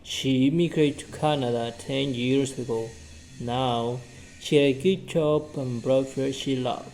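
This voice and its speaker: A man's voice: medium pitch, fairly even intonation, measured pace, darkish tone, slight background noise, low-quality recording. A man teaching English by reading a short story and drilling it with questions he asks and answers himself, repeating each sentence.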